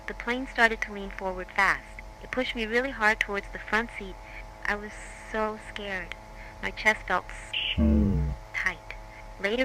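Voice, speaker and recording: A woman's voice: medium pitch, bright, forceful tone, slight background noise, close to an everyday voice, rough recording. A woman playing a shaken crash survivor, giving a scripted first-person account step by step in a horror drama.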